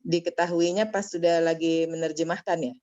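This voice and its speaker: Deep-voiced female teacher giving firm, patient reading corrections over a muffled video call. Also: steady tone, a little mellow, dry recording. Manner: corrective, drills pronunciation, repeats words slowly. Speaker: Arabic teacher. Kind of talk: online class feedback.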